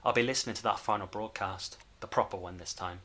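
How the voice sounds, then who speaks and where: A man's voice: lowish voice, fast, bright, forceful tone. A man playing a curious, teasing young companion of a time traveller in an audio drama, casual and questioning. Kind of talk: fan-made audio drama acting. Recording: clear background noise, ambience.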